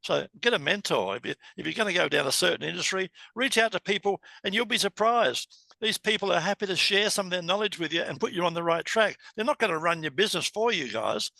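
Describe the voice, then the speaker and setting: A man's voice: high-pitched, a little fast, creaky, bright, forceful tone, dry recording. A man, hosting an interview over a video call and talking chattily to the listeners. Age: 70s. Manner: chatty, keeps addressing listeners as guys. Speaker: host. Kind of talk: casual interview.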